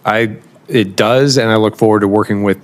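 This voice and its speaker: A man testifying as a government nominee, measured and fluent in policy jargon. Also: lowish voice, fairly even intonation. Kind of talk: formal testimony.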